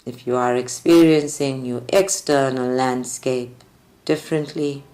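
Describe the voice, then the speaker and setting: A woman leads a guided group meditation with deliberate, measured phrasing and long pauses. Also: rumbling low, steady tone, mellow. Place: group meditation at a creativity centre. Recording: live recording with music behind. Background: faint music.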